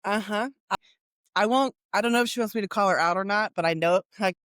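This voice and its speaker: A woman's voice: mid-range voice, fast talker, a bit bright, no room sound, studio quality. A woman co-hosting a podcast, blunt and casual, who swears freely and laughs easily. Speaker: podcast co-host. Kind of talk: casual chat.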